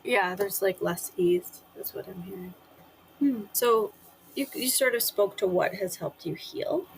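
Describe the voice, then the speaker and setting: A woman interviewing a guest, asking gentle, probing follow-up questions. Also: mid-range voice, a little slow, creaky, slight background noise, poor audio. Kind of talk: conversational interview.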